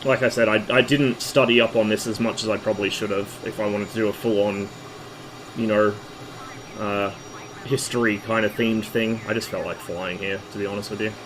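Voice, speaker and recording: A hoarse man, losing his voice, casually talking through a flight-sim stream in a relaxed, rambling way. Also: lowish voice, fairly quick, background noise. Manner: relaxed, rambling, with fillers and asides.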